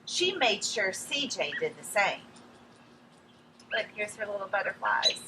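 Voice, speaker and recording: A woman reading a picture book aloud outdoors to young children, expressive and addressing them directly as friends. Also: medium pitch, measured pace. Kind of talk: animated read-aloud storytelling for young children. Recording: some background noise, outdoor ambience.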